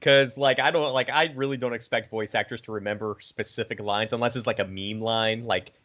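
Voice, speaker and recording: Guy doing a chill interview, mid pitch, talks like a fan, lots of slang. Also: steady tone, fairly quick, a bit bright. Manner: informal, slangy, fannish. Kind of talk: casual interview chat.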